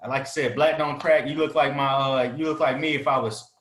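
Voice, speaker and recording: Man, 30s, podcast host, easygoing, affirming, mid-pitched voice, echoey room. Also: steady tone, fairly quick, a bit bright, a little hiss or hum. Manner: easygoing, affirming with repeated "right" and "good deal". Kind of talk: casual interview.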